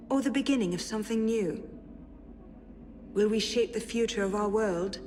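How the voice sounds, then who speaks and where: Actress doing a young noblewoman in a video game, reading letters, wistful n witty, mid pitch, bit of noise behind her. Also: a little mellow, lightly acted. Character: spirited young French noblewoman, witty, tender, resolute.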